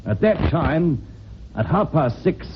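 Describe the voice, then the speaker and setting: Shrewd radio inspector, lively intonation. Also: male voice, a little high. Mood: explaining. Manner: measured, wry, authoritative. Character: shrewd, unhurried police inspector explaining the case. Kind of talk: old-time radio drama acting. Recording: dull, hissy old radio recording.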